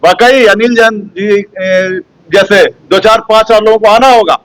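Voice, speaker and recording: A man's voice: high-pitched, fairly even intonation, a little slow, dry recording, low-quality recording. A man giving a rousing message at a community event, reciting slogans. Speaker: event speaker. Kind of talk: motivational speech to press.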